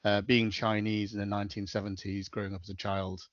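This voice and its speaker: Middle-aged man, Liverpool accent, low-pitched, hesitant casual interview talk, dry close sound, slightly dull audio. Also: little pitch movement, a little fast, no echo. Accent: Liverpool (Scouse). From Liverpool, England. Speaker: podcast guest, counsellor.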